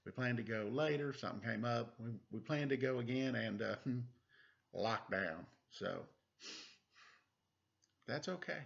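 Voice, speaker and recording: A man in his forties doing a casual story time, chatting and reading aloud in a playful, expressive way. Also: mid-range voice, a little slow, vocal fry, long pauses. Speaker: storyteller reading a picture book to children. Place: living room at home. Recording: dull, lo-fi webcam sound.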